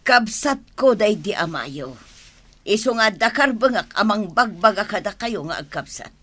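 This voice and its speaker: A woman's voice: medium pitch, lively intonation, moderate pace, fairly bright tone. An actress with an Ilocano accent playing a stern aunt who scolds her quarreling nephews in a radio drama. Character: scolding aunt of two brothers.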